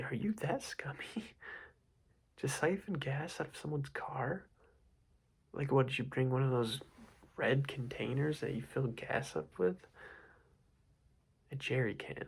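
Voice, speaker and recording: A man's voice: medium pitch, exaggerated voice. A man playing a whispering, rambling office boss. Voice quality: whispered, breathy. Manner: casual, rambling, repeats himself. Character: laid-back, rambling young male office boss. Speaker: ASMR roleplay creator. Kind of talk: whispered ASMR roleplay.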